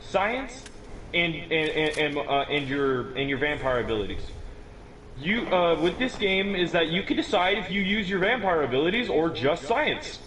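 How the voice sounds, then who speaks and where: A man's voice: fairly high pitch, very animated, moderate pace, fairly bright tone, a little hiss or hum, rough recording. A teenage boy explaining a game build in casual chat, rambling with many restarts and little chuckles. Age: teens. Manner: rambling, restarts often, chuckles at own remarks.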